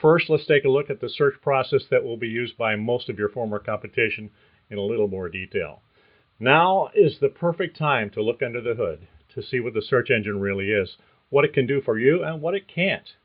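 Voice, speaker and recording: A man's voice: mid-range voice, expressive, fairly quick. Man reading a script over a tinny line. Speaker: podcast host. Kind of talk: scripted narration.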